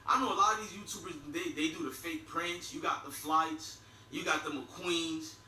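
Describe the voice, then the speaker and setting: A man's voice: on the high side, steady tone, normal speed, pushed and bright, a little hiss or hum. A middle-aged man with a Haitian accent, giving a blunt, profane video commentary with mocking laughs. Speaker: YouTube commentator. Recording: echoey room, far from mic.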